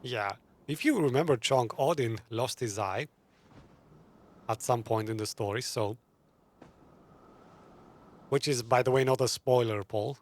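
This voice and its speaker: A man's voice: medium pitch, a little slow, long pauses. A man giving casual live commentary on a game, musing aloud and chatting to his viewers. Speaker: gaming streamer. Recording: game music behind the voice.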